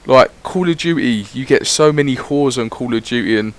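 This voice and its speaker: A man's voice: medium pitch, moderate pace. A man giving casual, rambling voice-over commentary on his gameplay, swearing freely as he chats. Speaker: gaming YouTuber.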